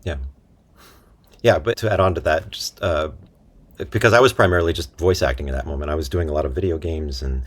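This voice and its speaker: A man's voice: extremely low pitch, fairly quick. A man talking as a guest in a casual interview, informal and easygoing. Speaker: interview guest, voice actor and writer.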